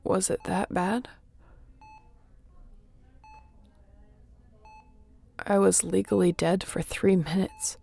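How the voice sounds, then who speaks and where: Gravelly, wounded woman starship captain, voiced by an actress. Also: medium pitch, steady tone, normal speed, warm tone. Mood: weary, wry. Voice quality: gravelly, often breathy and whispery. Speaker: voice actor in audio roleplay. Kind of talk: intimate sci-fi audio roleplay acting.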